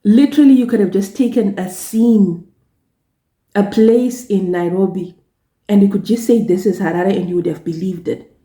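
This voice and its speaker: A woman from Zimbabwe with a Zimbabwean accent, Shona her first language, talking casually to camera in a slow, halting way with many repeated words and restarts. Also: medium pitch, warm tone, reverberant. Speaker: travel vlogger and gospel singer.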